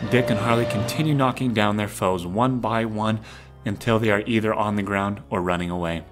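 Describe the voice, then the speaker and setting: A man's voice: lowish voice, a bit bright. A man reading scripted narration as the narrator of an audio drama.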